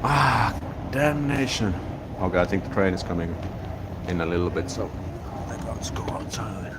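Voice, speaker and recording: Finnish-accented man, deep voice, laid-back stream host, clear street noise, phone on a gimbal. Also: moderate pace. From Finland. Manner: relaxed, rambling, frequent restarts. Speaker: YouTube live streamer. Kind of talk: casual live-stream chat, reading viewer comments. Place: outdoors in a city, near a train station. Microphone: smartphone on a gimbal. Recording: outdoor street noise behind.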